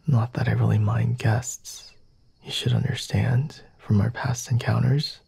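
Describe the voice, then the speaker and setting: A man plays a refined, flirtatious vampire lord in an intimate ASMR roleplay. His voice is very breathy and slips often into whispers, with a creaky, gravelly edge. He speaks slowly and formally, teasing the listener in a mellow tone, recorded close and dry. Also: mid-range voice, steady tone, no room sound, exaggerated voice. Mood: smooth, teasing. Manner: formal, teasing, measured and deliberate. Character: flirty, refined male vampire master, coy and unhurried.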